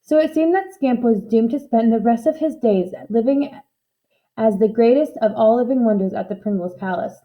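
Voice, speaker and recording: A woman reading a children's storybook aloud in expressive narration. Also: on the high side, moderate pace, highs cut off. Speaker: storybook reader.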